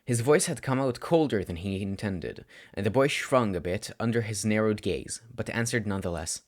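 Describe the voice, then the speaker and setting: A man with a fairly low voice narrates fiction from a script. He reads as a storyteller would for an audiobook. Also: animated, normal speed. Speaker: podfic narrator.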